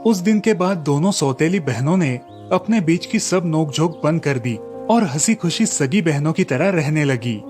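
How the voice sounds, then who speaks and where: A man's voice: a little high, animated, moderate pace, hardly any pauses, a little mellow. A man narrating a scripted cartoon story as the storyteller. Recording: music behind the voice. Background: music.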